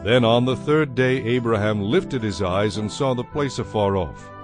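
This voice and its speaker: A man giving a measured, solemn narration of scripture as the narrator of a dramatized production. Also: mid-range voice. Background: soft music.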